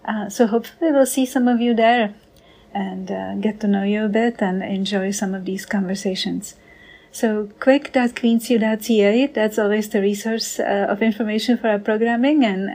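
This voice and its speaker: A woman coordinator whose first language is not English, introducing a conversation program in a friendly, unhurried way with many fillers. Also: mid-range voice, a little mellow.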